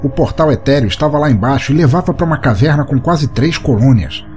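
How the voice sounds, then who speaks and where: A man's voice: mid-range voice, animated, fast, a little mellow, soft background music, close to an everyday voice. A Brazilian man voicing a younger, cynical, battle-worn male monster hunter in an audio short story. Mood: matter-of-fact.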